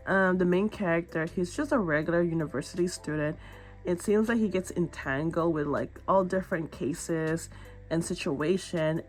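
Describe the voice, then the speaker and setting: A woman with a raspy, nasal voice from a stuffy nose, chatting casually about a manga she read, rambling with fillers and restarts. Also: on the low side. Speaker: manga reading vlogger. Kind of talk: casual book review chat.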